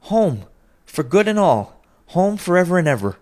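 Man putting on a little girl's voice, high, gleeful, eager, storybook. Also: normal speed. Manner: high, excited and breathless with joy.

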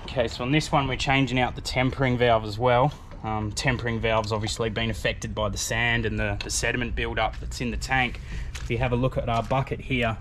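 An Australian man in his thirties, a plumber explaining a repair job in a relaxed, casual way while he works outdoors. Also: mid-range voice. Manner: relaxed, plain explaining while working with tools. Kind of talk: casual how-to video. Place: outdoors in a backyard by a house wall. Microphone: camera mic, handheld or worn action camera. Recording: outdoor camera audio with noisy background.

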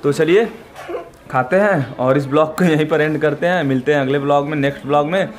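A man's voice: on the high side, a little fast. A young man talking casually to a camera he holds at arm's length, narrating his day and calling his viewers "bhai". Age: young adult. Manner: chatty, keeps saying "bhai". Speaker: vlogger. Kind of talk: casual selfie vlog. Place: outdoors, home and gym. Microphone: camera held at arm's length. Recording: echoey with loud street noise.

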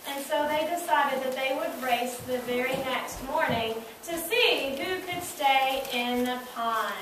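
Lady telling a kids story, deliberate, really echoey classroom, noisy recording. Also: high-pitched. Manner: deliberate, repeats words for emphasis. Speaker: narrator of a school puppet show, prompting child puppeteers. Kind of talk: storytelling narration for children. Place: classroom, recorded from the audience side. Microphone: distant camera microphone. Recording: echoey room, far mic, noisy.

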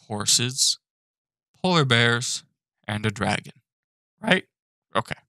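A young man ranting profanely and with exasperation from his apartment, now and then breaking into shouts. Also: medium pitch, dry recording. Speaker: animated channel host. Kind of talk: ranting commentary.

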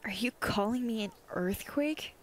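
A woman's voice: on the high side, expressive, measured pace. A woman playing a young, bashful, dry-humoured goth college girl in a casual ASMR roleplay. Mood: dry, playful. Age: young adult. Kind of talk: ASMR roleplay audio drama.

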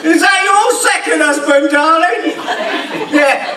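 A man's voice: extremely high pitch, takes it slowly, pushed and bright, heavily acted. A man playing a vain, catty ugly stepsister in pantomime, with an English accent and teasing, exclamatory stage delivery. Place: theatre stage in a village hall. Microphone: far camera. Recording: echoey noisy hall from far off.

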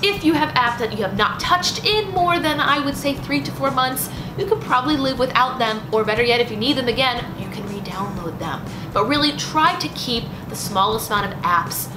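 A young woman in her twenties from the United States giving casual, lively advice to camera in a small indoor room, with punchy little asides. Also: a little high, moderate pace, few pauses. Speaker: lifestyle vlogger. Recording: roomy with background music.

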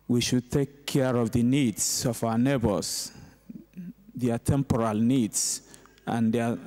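A middle-aged man, a priest, delivering a homily in a measured, deliberate way with pauses between short phrases. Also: medium pitch, darkish tone. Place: inside a large church, at the lectern. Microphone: gooseneck lectern microphone.

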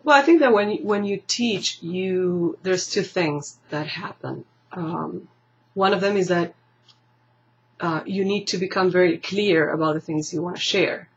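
Thoughtful woman from Argentina, soft lo-fi sound. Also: lowish voice, unhurried. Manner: thoughtful, searching for words, laughs easily. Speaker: interview guest. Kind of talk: casual interview. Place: library room lined with bookshelves, indoors. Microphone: camera mic at table distance. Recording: slightly dull lo-fi webcam audio.

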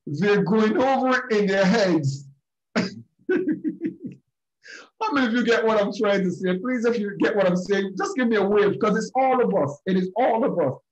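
A man's voice: sits high, darkish tone, highs cut off. A man with a Barbadian accent speaking emphatically in a group session, repeating key phrases and addressing listeners directly, on a video call. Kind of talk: preachy motivational talk.

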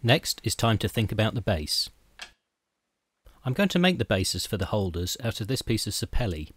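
A middle-aged man calmly narrating a scripted woodworking how-to, step by step, as the channel's host. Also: fairly low pitch, normal speed, slightly rough, no room sound. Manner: calm, methodical step-by-step explanation.

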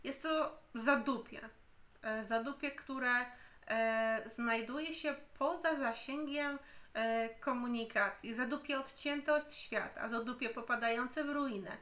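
A woman in her thirties giving a spoken book review at home into a camera, slow and deliberate, often pausing and restarting. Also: fairly high pitch, a bit bright. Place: home room, indoors.

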